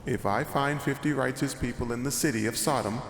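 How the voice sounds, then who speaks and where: A man's voice: mid-range voice, a little fast, roomy sound, in a large hall, a little hiss or hum, close to an everyday voice. A man reading as a grave divine voice. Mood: solemn. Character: the Lord, a solemn male deity. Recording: voice-over with music behind.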